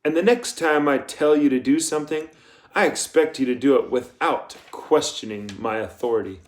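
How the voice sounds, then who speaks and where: A man voicing a menacing female camp warden in an audiobook, coldly polite and commanding, speaking softly and deliberately. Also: fairly high pitch, pitched above the performer's own voice, lightly acted. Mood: quiet menace. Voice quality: soft. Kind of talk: audiobook character voice.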